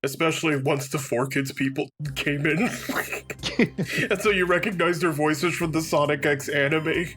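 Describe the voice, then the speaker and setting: A man's voice: on the high side, steady tone, moderate pace, fairly bright tone. A man giving casual, joking co-commentary on a video game. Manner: jokey. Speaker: let's play co-commentator. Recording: game music clearly behind commentary.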